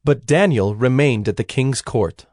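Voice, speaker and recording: An actor serving as a solemn storyteller, narrating ancient scripture in a measured, formal way. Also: male voice, mid-range voice, darkish tone, no room sound, studio quality, close to an everyday voice. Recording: music under the voice.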